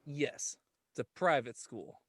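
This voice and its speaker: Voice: mid-range voice, takes it slowly, a bit bright. A podcast host in casual, explanatory chat.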